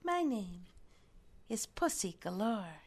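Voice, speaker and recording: A woman's voice: medium pitch, big pitch swings, slow pace, slightly rough, full character voice. A woman playing a flirtatious, helpful knitting shop clerk in a spy spoof, coy and melodramatic. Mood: coy, dramatic.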